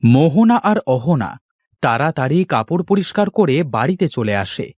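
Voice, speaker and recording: A man narrates a cartoon fairy tale from a script. His voice is fairly high and lively in its pitch movement, with a dry, close sound. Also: normal speed, dry recording.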